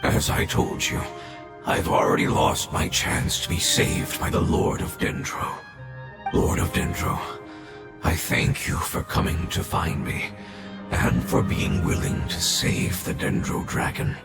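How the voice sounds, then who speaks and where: An actor voicing a dying ancient creature in a game, solemn and weary, with a gravelly voice. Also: male voice, expressive, a little slow, heavily acted. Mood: grateful. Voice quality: gravelly, very deep. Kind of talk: game voice acting. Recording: echoey with game music behind.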